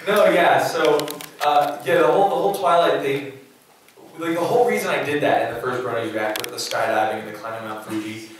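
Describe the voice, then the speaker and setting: A man's voice: medium pitch, brisk, a little hiss or hum. A young man chatting loosely on a convention panel, laughing often and rambling. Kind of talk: casual panel chat. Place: convention hall. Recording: echoey hall, far from the mic.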